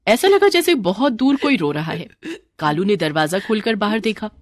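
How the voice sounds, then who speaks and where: A woman's voice: medium pitch, very animated, normal speed, dry recording, clean studio sound. A woman narrating a scripted children's moral story as storyteller.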